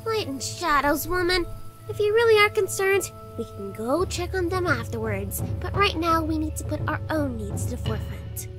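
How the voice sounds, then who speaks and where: Woman acting a high, bubbly, dramatic young woman. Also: big pitch swings, normal speed, over music. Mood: teasing, breezy. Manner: exclamatory, playful, complaining. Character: bubbly, dramatic, cheeky young woman, a trainee who loves comfort. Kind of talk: visual novel character dialogue.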